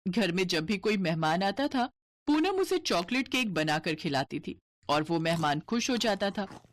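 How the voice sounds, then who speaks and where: A woman's voice: mid-range voice, very animated, normal speed. A woman narrating a scripted cartoon story as its storyteller.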